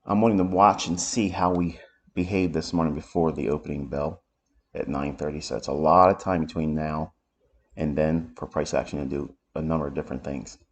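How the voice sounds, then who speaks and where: A man with a raspy voice from allergies, giving unhurried live commentary as he explains market charts. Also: extremely low pitch, fairly even intonation. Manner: unhurried, thinking aloud while explaining charts. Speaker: trading educator. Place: home office.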